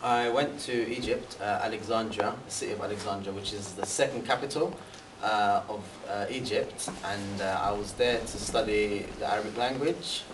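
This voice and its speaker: A man's voice: lowish voice, normal speed, hardly any pauses. A young man, a university student, telling about his studies abroad in a rambling way full of fillers and restarts, as he sits in a large room. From Britain. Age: young adult. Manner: rambling with many fillers and restarts, animated hand gestures. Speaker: guest speaker, university student. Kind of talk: seated talk answering questions. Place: large room with a banner, indoors. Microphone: far camera. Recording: echoey room from far off, faint hiss.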